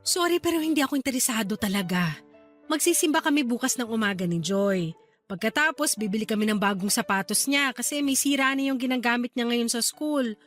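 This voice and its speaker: Woman acting a doting playful aunt in a radio drama, high voice, teasing, faint music behind. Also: brisk, close to an everyday voice. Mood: polite refusal. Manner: affectionate, teasing, expressive. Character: caring, playful young aunt raising her small niece.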